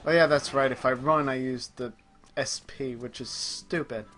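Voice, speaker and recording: Bright-voiced man chatting while gaming. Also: mid-range voice, steady tone, moderate pace. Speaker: gaming show host playing a video game. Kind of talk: casual chat, live game commentary. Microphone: microphone on a group internet voice call.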